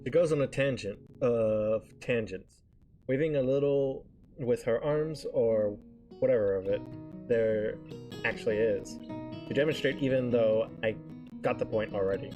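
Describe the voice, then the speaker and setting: A man with a mid-pitched voice reads a visual novel's narration aloud. He reads haltingly, tripping on words and correcting himself. Soft guitar music plays behind him. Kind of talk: live read-aloud of narration. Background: game background music with guitar.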